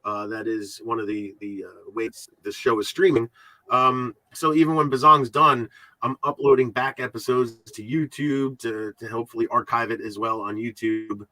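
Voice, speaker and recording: A man hosting a podcast in casual, rambling, halting talk, often repeating his words and swearing freely. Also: mid-range voice, steady tone, sharp tone, no room sound, rough recording. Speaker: podcast host.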